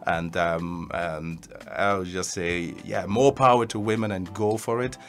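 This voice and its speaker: A man's voice: low-pitched, steady tone, a little slow, a bit bright, faint music behind. A man born in Congo and raised in Switzerland, an actor talking earnestly and informally in an interview. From born in DR Congo, grew up in Switzerland. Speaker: actor being interviewed as cast member. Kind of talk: casual interview talk.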